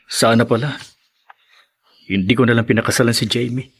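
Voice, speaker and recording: An actor playing a dutiful young married son in a radio drama, earnest, hesitant and pleading. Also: male voice, mid-range voice, fairly even intonation, fairly quick, husky. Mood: dejected. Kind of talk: Filipino radio drama acting.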